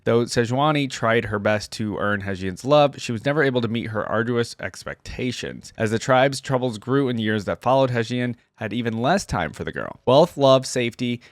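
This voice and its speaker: A man's voice: lowish voice, no echo, studio quality. A man hosting a reaction channel, moving between casual commentary and reading aloud.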